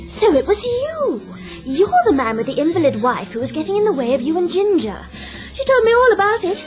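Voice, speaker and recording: A woman's voice: very high voice, very animated, fairly quick, over music. An actress in a radio drama playing a chatty, gossipy young woman with a girlish manner. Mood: accusing.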